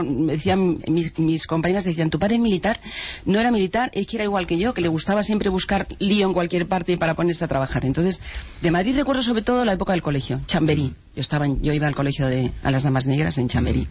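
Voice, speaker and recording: A woman from Spain with a Spanish accent, a singer speaking as a guest in a radio interview, chatty and animated, laughing often. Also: fairly low pitch, fast talker, breathy edge. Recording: muffled radio sound with music.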